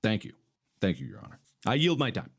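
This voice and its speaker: A man's voice: lowish voice, wildly swooping pitch, fast, gravelly, husky, pitched below the performer's own voice, exaggerated voice. A man playing a grandiose defense lawyer in a mock courtroom, speaking in a solemn, pompous, theatrical way. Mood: solemn, earnest. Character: grandiose male defense lawyer pleading to a jury.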